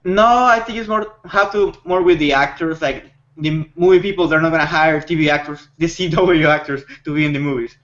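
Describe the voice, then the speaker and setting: A man with a fairly high voice chats as a panelist in a casual group discussion. He speculates with enthusiasm. The recording sounds dull and lo-fi. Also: brisk, hardly any pauses, fairly bright tone.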